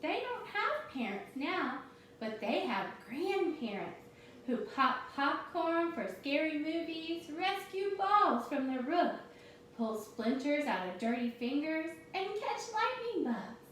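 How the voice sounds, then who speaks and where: A woman voicing a gentle, reassuring storybook mother who patiently explains things. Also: high voice, big pitch swings, slow pace, exaggerated voice. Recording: very echoey room, distant mic, faint noise.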